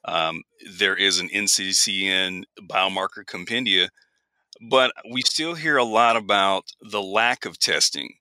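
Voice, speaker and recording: Low, bright-voiced male podcast host. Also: a little slow, clean tone, no echo. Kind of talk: podcast interview.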